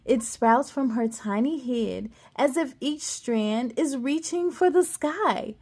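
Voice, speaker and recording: A woman reading a children's picture book aloud as a storyteller, playful and animated, with chatty asides to young listeners. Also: high voice, takes it slowly.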